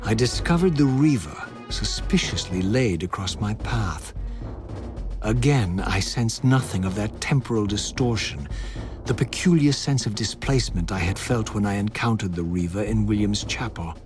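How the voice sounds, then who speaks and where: An actor playing a bitter, vengeful undead wraith in a video game, speaking in grand, formal, carefully enunciated monologue. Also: male voice, fairly low pitch, expressive, moderate pace, close to an everyday voice. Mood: brooding, uneasy. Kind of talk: dramatic scripted game narration and monologue. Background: loud dramatic game music.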